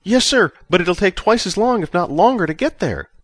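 A man's voice: high voice, big pitch swings, normal speed, no room sound, studio quality. A man voicing a dutiful ship's officer in an audio story, deferential and explanatory as he reports. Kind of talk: audio story character acting.

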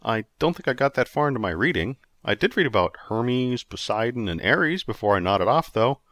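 A man's voice: mid-range voice, big pitch swings, normal speed, fairly bright tone, no echo. A man reading the part of an impatient, sarcastic young man who wants to get ready for a date. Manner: dry, impatient.

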